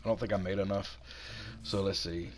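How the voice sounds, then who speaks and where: Hoarse low-voiced man narrating gameplay. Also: fairly even intonation, a little fast, background noise. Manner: chatty, talks himself through the build. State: hoarse from sinus infection. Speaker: gaming channel host. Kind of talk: casual game building commentary.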